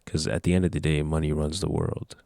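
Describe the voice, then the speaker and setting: A young man of nineteen speaks to his camera in an earnest, rambling way, full of restarts. His voice sits very low with a gravelly fry and little rise and fall. The sound is dry and close. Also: flat delivery, fairly quick, warm tone, no echo. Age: young adult. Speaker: vlogger. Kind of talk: casual talk to camera.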